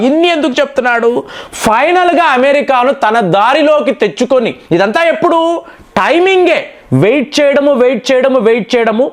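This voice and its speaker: This is a man with a strikingly high voice for a male speaker. He presents political commentary as an explainer addressed to his viewers. His delivery is emphatic, built on rhetorical questions and repeated key phrases. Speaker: political news commentator and channel host.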